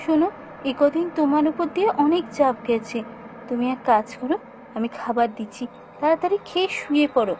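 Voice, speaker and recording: A woman voicing a caring, solicitous wife and mother in a cartoon, expressive. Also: sits high, fairly quick, slight background noise. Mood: concerned. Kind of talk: cartoon voice acting. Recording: music behind the voice.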